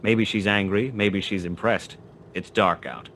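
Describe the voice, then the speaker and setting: A man's voice: on the low side, steady tone, unhurried, fairly bright tone, a little hiss or hum. An actor playing a wry, terse private detective who narrates his own case in a noir radio play. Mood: wry, probing. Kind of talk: 1940s noir radio play acting, first-person narration.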